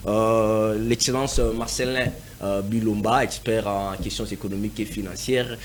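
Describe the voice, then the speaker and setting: A man's voice: fairly low pitch, steady tone, normal speed, slight background noise. A man from DR Congo speaking as a panelist in a televised debate, emphatic, repeating phrases for stress with many hesitant fillers.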